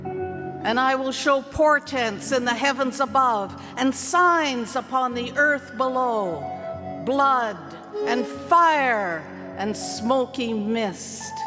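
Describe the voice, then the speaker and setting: A woman giving a dramatic scripture reading at a church service, with theatrical, deliberate phrasing and long pauses. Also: sits high. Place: large church. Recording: long church reverb, music playing behind. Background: music.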